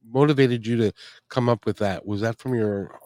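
Halting male interviewer, dry sound. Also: mid-range voice, darkish tone, no echo. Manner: halting, repeats words while asking. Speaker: interviewer host. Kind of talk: interview conversation.

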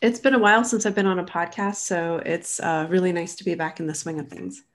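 A woman's voice: on the low side, fairly even intonation, a little fast. A woman in her thirties, a design educator, chatting as a podcast guest, a little nasal from allergies. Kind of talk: casual interview.

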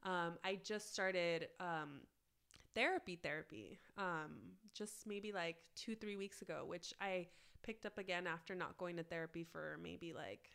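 A woman guest on a podcast, talking casually with lots of "like" fillers, her voice raspy from a cold. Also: lowish voice, unhurried, a bit bright. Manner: chatty, many "like" fillers. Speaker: guest, plant-based cookbook author.

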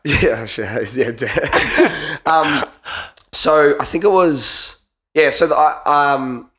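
A young Australian man, hoarse from a flu, joking and swearing as a guest co-host on a running podcast. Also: a little high, slow, fairly bright tone. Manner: joking, swears freely, trails off and restarts. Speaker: guest co-host, former 800m runner. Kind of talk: casual podcast banter.

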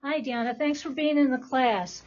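Female podcast host and teacher, reassuring, higher-pitched, captured in muffled lo-fi sound. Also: normal speed, a little mellow. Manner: encouraging, reassuring prompts. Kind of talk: live podcast hosting, addressing a class. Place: classroom with about twenty people.

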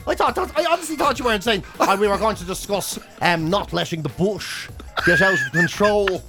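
Middle-aged Irish male host, high-pitched, cheeky rambling banter, acts out little imagined exchanges. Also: animated, a little fast, sharp tone, faint music behind. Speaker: podcast and radio host. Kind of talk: casual comic banter.

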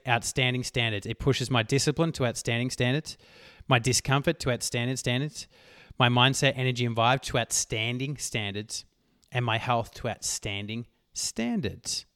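A man hosting a solo motivational podcast, talking emphatically and swearing casually, his voice worn hoarse. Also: mid-range voice, moderate pace, a bit bright, no room sound, clean studio sound. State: losing his voice. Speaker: podcast host.

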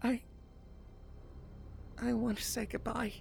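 A woman playing a grieving young son in an audio drama, speaking quietly and haltingly. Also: fairly high pitch, level tone, vocal fry, soft background music, full character voice.

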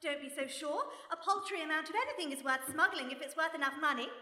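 An actress playing a brisk, bossy mother who works as an amateur sleuth in a radio play. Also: high voice, sharp tone. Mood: impatient. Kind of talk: radio play acting before a live audience. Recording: echoey hall, live stage recording.

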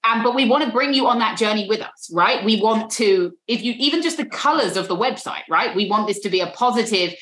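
A woman's voice: a little high, animated, a little fast, few pauses, fairly bright tone. Earnest British woman, echoey room. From Britain. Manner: earnest, repeats words as she speaks. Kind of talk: interview.

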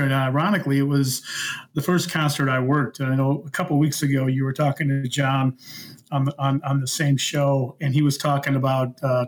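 A middle-aged or older American man telling personal stories casually as a guest, plain-spoken and self-deprecating. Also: medium pitch. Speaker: podcast guest.

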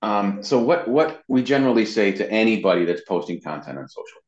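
Male interview guest, low voice, casual and explanatory, halting with repeated words, recorded in an echoey room. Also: very animated. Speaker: interview guest, web marketing consultant. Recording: roomy echo, dull muffled sound.

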